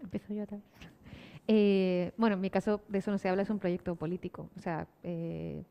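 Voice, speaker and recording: A woman's voice: on the low side, little pitch movement, a little fast, creaky, warm tone, clean tone. A woman from Spain answering informally as a panelist, digressive and wry, often interrupting herself. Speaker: podcast creator and cultural studies lecturer, panelist. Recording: reverberant hall, slightly dull sound.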